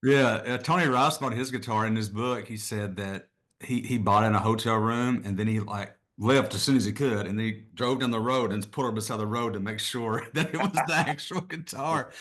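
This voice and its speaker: A man's voice: mid-range voice, normal speed, a bit bright. A middle-aged man, a guest musician, chatting casually and rambling a little, laughing often. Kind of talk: casual conversation.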